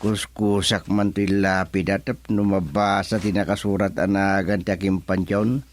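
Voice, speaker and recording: A man's voice: sits low, flat delivery, measured pace. A man acting an elderly, folksy, easily flustered village chairman in an Ilocano radio comedy drama, muttering and humming with an Ilocano Filipino accent. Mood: musing. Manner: muttering to himself with hums and exclamations.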